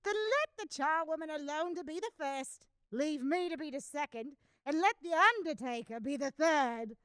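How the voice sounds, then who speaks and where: A high, closely recorded female voice acted by a woman plays a callous charwoman in a radio drama. She is scornful and theatrical, a hard-hearted scavenger with no pity for the dead. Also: animated, moderate pace, fairly bright tone, no echo, studio quality. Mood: brisk, bold. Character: callous, scornful charwoman picking over a dead man's goods. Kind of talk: amateur radio drama acting.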